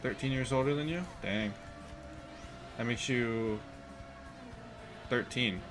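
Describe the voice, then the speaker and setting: A man in his twenties giving casual gameplay commentary from a room, thinking out loud and swearing in quick bursts of excitement. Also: mid-range voice, over music. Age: 20s. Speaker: streamer playing a card game. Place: room indoors.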